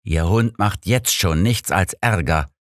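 A man's voice: deep voice, unhurried, dry recording, clean studio sound. A man voicing a suave, sardonic old master detective in an audiobook. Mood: dry, sardonic. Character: old master detective, dry, grim and smug.

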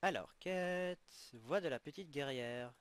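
A young man with a head cold, sniffling, casually commenting as he plays an online game and reacting excitedly to what he gets. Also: a little high, a little slow, clean tone, dry recording. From French-speaking. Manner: chatty, exclaiming at in-game rewards. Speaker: gaming channel host.

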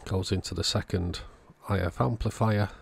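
A man calmly narrating a radio repair at his workbench in a matter-of-fact way. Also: deep voice, normal speed, vocal fry, slightly rough. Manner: relaxed, matter-of-fact commentary while working. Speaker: electronics repair channel host. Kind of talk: narrated bench repair tutorial. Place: workbench indoors.

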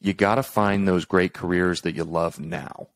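A middle-aged podcast host with a very deep voice talks in an upbeat, coaching way and acts out the thoughts of his listeners. His sound is dry and close, as if speaking into a video call at home. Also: steady tone, moderate pace, no room sound. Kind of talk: conversational interview hosting. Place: room at home, indoors.

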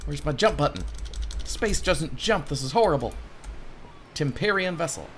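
A man's voice: on the high side, expressive, moderate pace, a bit bright, a little hiss or hum. A man in his thirties giving casual let's play commentary as a gaming streamer.

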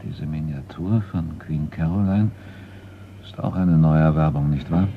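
An actor playing a polite, precise middle-aged art appraiser in a German radio crime play. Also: male voice, rumbling low, measured pace. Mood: precise, tactful. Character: seasoned male art appraiser, deferential, precise, discreet. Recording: dull, noisy old radio recording.

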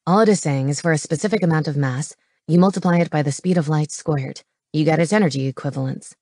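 A woman acting out a gruff, intelligent man from an audiobook, pitched low. He is terse and intense, laying out facts plainly. The sound is close and dry. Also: fast, a little mellow, no echo. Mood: explanatory. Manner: terse, matter-of-fact. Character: gruff, brainy comic-book-fan man, terse and intense. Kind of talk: audiobook character dialogue.

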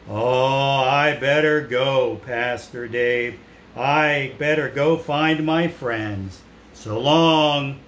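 A middle-aged man with a slightly high voice talks to children as a pastor, explaining simply. The room is echoey, with faint noise. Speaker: pastor hosting a children's puppet show. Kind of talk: children's ministry talk. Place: indoors in a small room. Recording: roomy echo with some background noise.

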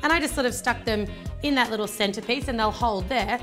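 A woman's voice: a little high, a little fast, music in the background. A woman in her thirties with an Australian accent, hosting a cooking demonstration in a home kitchen and chatting through each step. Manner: chatty, upbeat step-by-step instructions. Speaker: baking channel host.

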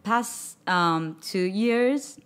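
A woman in her thirties from Japan with a Japanese accent, speaking English as a second language in an interview and often pausing to search for words. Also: medium pitch, smooth. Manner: halting, searching for words, soft-spoken. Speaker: guest singer. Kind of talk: casual interview chat. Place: studio with record-covered wall. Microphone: studio microphone on boom arm.

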